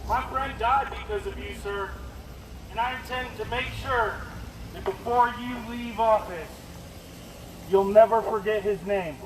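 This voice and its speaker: High-pitched man protesting through an echoing bullhorn. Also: unhurried. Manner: confrontational, repeatedly addressing an official as sir. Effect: bullhorn amplification. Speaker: protester, activist. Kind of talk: impassioned public protest speech. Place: outdoors on a street outside a government mansion. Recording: echoing bullhorn, noisy outdoor street recording.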